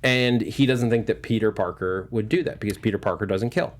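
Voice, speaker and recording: A man hosting a panel discussion, talking casually in a halting, wordy way and often backtracking as he explains. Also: fairly low pitch. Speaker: host of a movie news panel show.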